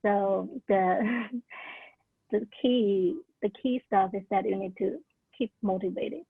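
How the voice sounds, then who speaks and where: A woman's voice: medium pitch, steady tone, takes it slowly, creaky, breathy edge, dry recording. Woman on a tinny video call. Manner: earnest, with many "like" and "uh" fillers. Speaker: alumna sharing career tips. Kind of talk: casual talk at a virtual orientation. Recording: narrow tinny call audio.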